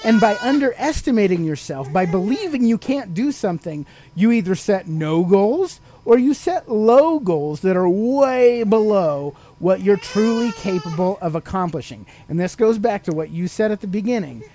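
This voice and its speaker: A man's voice: high-pitched, expressive, moderate pace, hardly any pauses, dark tone. A man hosting a podcast, teaching motivational ideas in a conversational, explanatory way and putting questions to the listener. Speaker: podcast host.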